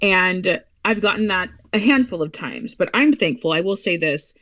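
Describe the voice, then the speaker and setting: A woman's voice: lowish voice, lively intonation, fairly bright tone, no echo. An American woman speaking as a guest in a casual interview, earnest and emphatic about her children and her values. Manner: earnest, emphatic, speeds up and slows down to stress points.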